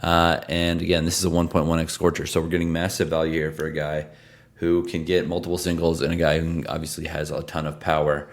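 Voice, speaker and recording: A tired, hoarse man hosting a sports picks show, talking casually and rattling off stats from his desk at home. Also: a bass voice, monotone, fairly quick. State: tired from travel. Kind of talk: casual sports betting analysis.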